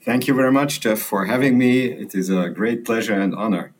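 An older man from Romania whose first language is Hungarian, telling his life story in an interview in a thoughtful, unhurried way. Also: on the low side. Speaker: guest, emeritus radiology professor. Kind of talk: interview conversation.

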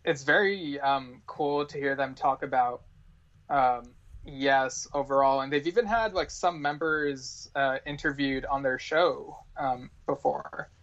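Guy guesting on a podcast, mid pitch, earnest fan talk, muffled lo-fi sound. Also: unhurried, fairly bright tone. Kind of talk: casual podcast chat.

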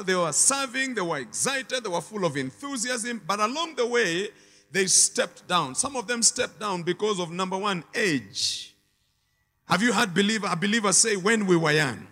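A man's voice: sits high, bright, forceful tone, big-room sound. A man preaching a sermon with emphatic, repetitive phrasing and call-and-response to the congregation.

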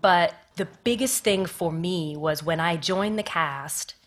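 Woman, American accent, panel guest, direct, emphatic, slightly low pitch. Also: a little slow. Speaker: panel guest, stage performer. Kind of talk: casual panel conversation.

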